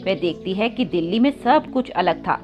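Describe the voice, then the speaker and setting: A woman's voice: medium pitch, expressive, moderate pace, highs cut off, faint music behind. A woman reading scripted narration for a children's cartoon story.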